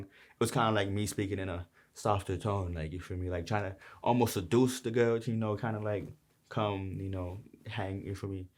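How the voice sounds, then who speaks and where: A young man from Brooklyn with a New York accent, casually talking through the lines of his song in a rambling, slang-heavy way. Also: lowish voice. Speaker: rapper explaining his song. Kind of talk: casual lyric breakdown.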